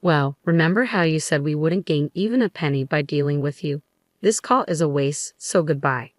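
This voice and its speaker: A woman voicing a cool, sharp-tongued young woman who speaks in a cold, matter-of-fact way. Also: low-pitched, moderate pace, dry recording, studio quality, lightly acted.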